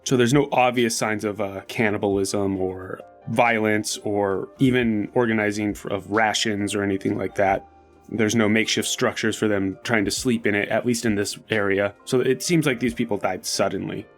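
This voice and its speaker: A man's voice: fairly low pitch, fairly even intonation, normal speed, soft background music. A man playing a tabletop game, casually thinking aloud and analysing the scene in a conversational way.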